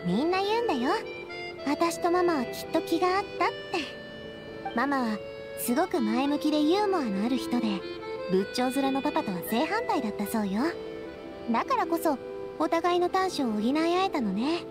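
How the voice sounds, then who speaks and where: High-voiced, spirited young lady boss. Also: big pitch swings, moderate pace. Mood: fond, reminiscing. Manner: feminine, candid, reflective. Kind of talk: anime video game dialogue. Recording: studio voice with music behind.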